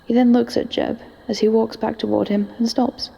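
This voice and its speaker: A woman's voice: a little high, level tone, moderate pace, vocal fry, dark tone, breathy edge, poor audio. A woman reading scripted present-tense story narration as the narrator of an audio drama.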